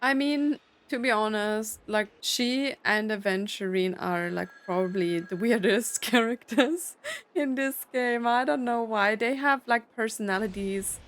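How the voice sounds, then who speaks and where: A woman's voice: a little high, a little slow, a bit bright. A woman game streamer reacting casually, with an informal, musing way of talking. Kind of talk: casual let's-play reactions. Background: game music.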